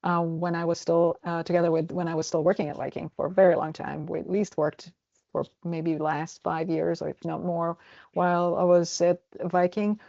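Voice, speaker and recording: A woman in her forties from Croatia with a Croatian accent, answering interview questions in a halting way with many fillers and restarts. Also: lowish voice, little pitch movement, gravelly, dark tone, no room sound, highs cut off. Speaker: travel agent. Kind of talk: casual interview.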